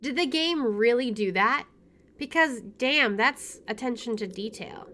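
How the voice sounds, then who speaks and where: A woman's voice: on the high side, expressive, unhurried, pushed and bright, a little hiss or hum. A woman streaming a visual novel, reading its text aloud and dropping in casual, wry asides. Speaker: video game streamer.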